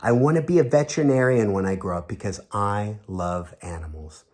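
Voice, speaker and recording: A man's voice: fairly low pitch, brisk, a little mellow, voice pushed down, lightly acted. A man voicing earnest, friendly refugee children introducing themselves in a storybook read-aloud, expressively. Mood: cheerful, earnest.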